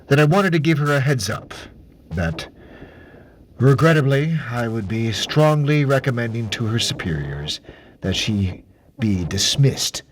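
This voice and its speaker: A man's voice: medium pitch, sing-song, a little slow, slight background noise, low-quality recording. An actor playing an obsessive, self-righteous male mystery shopper, pompous and formal, delivering a dramatic monologue as a customer report. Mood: prim, fixated. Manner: pompous, formal, officious, precise wording.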